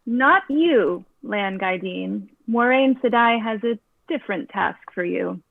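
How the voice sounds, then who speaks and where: A woman's voice: medium pitch, expressive, takes it slowly, gravelly, heavily acted. A woman playing a regal, wry gatekeeper of a magical order in a fantasy table read. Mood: stately, commanding. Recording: thin phone-like call audio.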